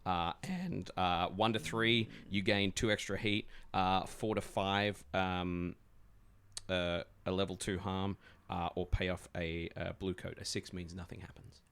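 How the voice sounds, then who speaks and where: A man running a tabletop roleplaying game as game master, speaking in a halting, encouraging way. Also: deep voice, steady tone, a bit bright, clean tone.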